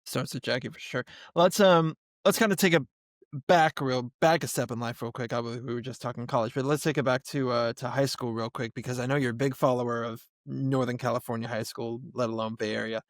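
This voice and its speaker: Man, mid pitch, relaxed friendly host, dry studio mic. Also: a little fast, no room sound. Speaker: podcast host. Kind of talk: casual podcast interview.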